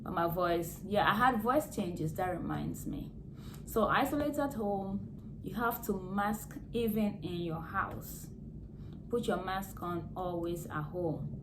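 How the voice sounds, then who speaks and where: A hoarse woman, a nurse, giving plain health advice in a casual vlog and repeating key words as she explains. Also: medium pitch, slow, noisy background. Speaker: nurse vlogger.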